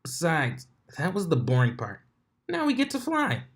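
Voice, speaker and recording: A man's voice: on the high side, wildly swooping pitch, moderate pace, voice pushed up. A man voicing a brash, bossy young female pegasus pony in a flippant way for a story reading. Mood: breezy, cocky.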